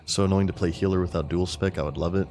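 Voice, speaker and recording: A man streaming a game and chatting casually with viewers, rambling and thinking aloud, his voice scratchy and clearing his throat. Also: sits low, steady tone, fast talker, a little mellow. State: scratchy, failing voice.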